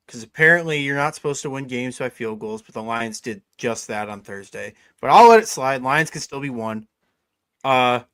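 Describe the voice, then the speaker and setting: Young male co-host, relaxed sports banter, dry recording. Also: mid-range voice, no echo. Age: 20s. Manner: laid-back, says "dude" a lot. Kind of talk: casual sports chat.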